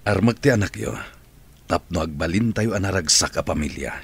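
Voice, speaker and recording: A man's voice: low-pitched, fairly quick, creaky, slightly rough, exaggerated voice. A middle-aged man in a radio drama playing an earnest, persuasive man who is courting a woman.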